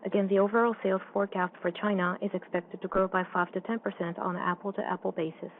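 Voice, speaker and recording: A woman interpreting a formal financial results presentation on a conference call in an even, businesslike manner. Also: medium pitch, fast. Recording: dull, slightly noisy call audio.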